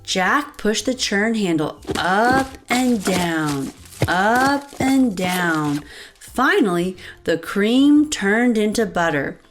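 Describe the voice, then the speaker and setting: A woman's voice: medium pitch, lively intonation, extremely slow, background noise. A woman reading a picture book aloud to young children as host, expressively, addressing them directly. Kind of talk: animated read-aloud narration for young children. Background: light background music.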